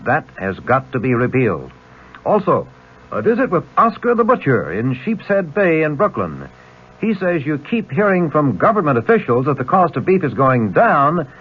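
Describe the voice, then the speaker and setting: Husky, animated male radio newsman. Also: a little high, normal speed. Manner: emphatic, conversational questions to the listener. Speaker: radio news host. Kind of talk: punchy scripted news promo. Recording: narrow, tinny old radio broadcast.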